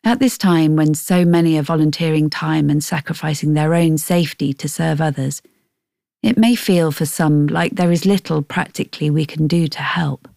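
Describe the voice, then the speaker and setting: A woman reading a scripted Bible devotional in a measured, reflective way. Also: low-pitched, creaky, dark tone, no echo, clean studio sound. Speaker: devotional reader. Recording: faint music behind.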